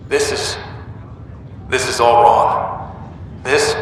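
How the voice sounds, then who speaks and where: A man playing a confused, distressed young brother in a coma, halting and bewildered, in a dramatic video game scene. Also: medium pitch, fairly even intonation. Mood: shocked, distressed. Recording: distant, very echoey hall, slight noise.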